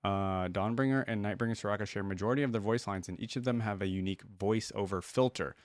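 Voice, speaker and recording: A man's voice: fairly low pitch, fast talker, no echo, studio quality. A man giving casual reaction commentary as a host, rambling as he thinks aloud.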